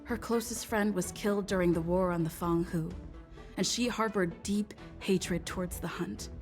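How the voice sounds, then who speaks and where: A woman's voice: on the low side, fairly even intonation, moderate pace, lightly acted. A woman playing a thoughtful, earnest envoy in a game cutscene, explaining things carefully and articulately. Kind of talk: scripted game cutscene acting. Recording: background music.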